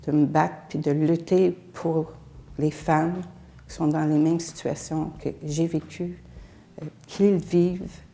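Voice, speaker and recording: An older woman from Alberta, English-speaking by birth, speaks as a feminist activist. Her voice is low, dark and gravelly, with a mellow warmth. She talks in earnest, halting phrases with pauses between them. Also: steady tone. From Alberta, Canada. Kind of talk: personal testimony.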